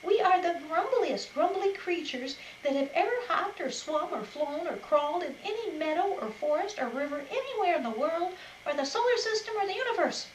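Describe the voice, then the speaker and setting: A woman voicing a vain, showy girl rabbit in a storybook, talking in a shrill, gushing, theatrical way. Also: sing-song, moderate pace, hardly any pauses, echoey room, slight background noise, poor audio. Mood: shouting.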